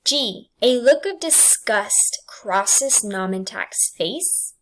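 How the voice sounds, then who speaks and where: Slow, high-voiced woman teacher reading aloud. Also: expressive. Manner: deliberate, enunciated item-by-item delivery for students. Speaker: English language arts teacher. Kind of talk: reading test questions and answer choices aloud.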